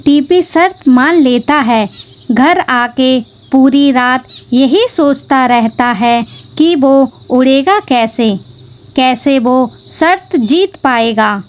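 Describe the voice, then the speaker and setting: High-pitched, slow woman storyteller. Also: animated. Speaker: narrator. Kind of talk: scripted children's story narration.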